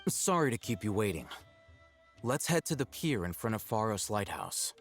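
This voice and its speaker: An actor playing a cool, calculating young male scholar in a video game, speaking in a measured, dry way. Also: on the low side, sing-song, soft background music. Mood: businesslike.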